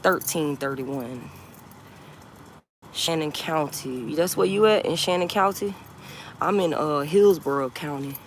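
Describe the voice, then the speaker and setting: A woman's voice: low voice, unhurried, long pauses, background noise. A woman in her forties chatting to viewers on a phone livestream outdoors. She talks bluntly and repeats herself, swearing and laughing often. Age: 40s. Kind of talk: casual live-stream chat with viewers.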